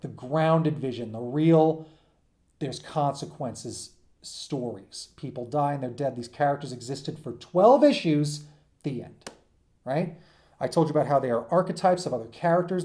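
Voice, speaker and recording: A man's voice: fairly high pitch, mellow. A man teaching in an informal, off-the-cuff way, rambling and often checking in with his listeners. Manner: rambling, frequent check-ins like "okay?" and "right?". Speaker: teacher giving an assignment. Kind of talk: informal lecture to students, off the cuff.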